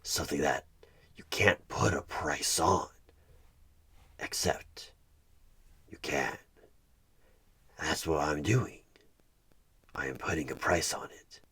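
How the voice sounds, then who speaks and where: A man playing a sinister, coaxing evil recruiter who bargains for souls in a creepy ASMR horror roleplay, husky and half-whispered, with deliberate dramatic pauses. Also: rumbling low, a bit bright, full character voice. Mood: coaxing menace. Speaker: audio roleplay performer.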